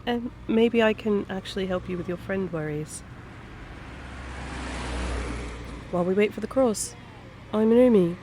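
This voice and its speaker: Woman playing female private eye, mid-pitched, kind, concerned, gently teasing, conversational audio roleplay, noticeable ambient noise. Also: a little fast, lightly acted. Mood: playful, friendly. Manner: soft-hearted, hesitant, questioning, playful asides. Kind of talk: intimate one-sided audio roleplay acting, conversational.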